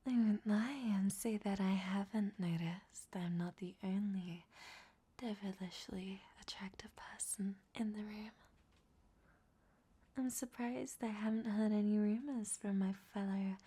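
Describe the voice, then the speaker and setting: A woman's voice: mid-range voice, steady tone, slow, warm tone. A woman playing a vain, flirtatious captive vampire in a whispered roleplay audio drama, with a coy, teasing delivery. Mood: flirtatious, sly. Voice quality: breathy, whispery.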